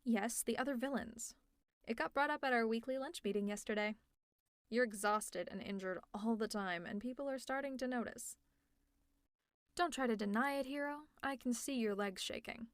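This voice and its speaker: The villainess in a late-night ASMR roleplay, played by a woman, needling her favorite nemesis with fond exasperation and sarcasm in a fairly high voice, close and without any room echo. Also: normal speed, lightly acted. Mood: teasing, concerned. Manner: sarcastic, teasing, conversational. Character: teasing, exasperated, secretly caring female supervillain. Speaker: audio roleplay performer.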